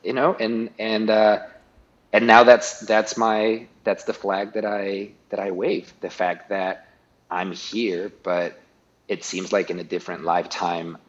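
A man's voice: on the low side, little pitch movement, unhurried, highs cut off. A middle-aged man from Guatemala, a guest talking casually in an interview, often restarting and repeating words.

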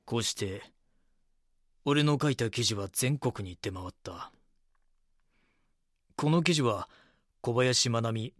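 A man's voice: mid-range voice, no echo, studio quality, natural voice. A man playing a brooding reporter who narrates an audio drama in the first person, somber and reflective. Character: brooding male reporter narrating his regretful recollection.

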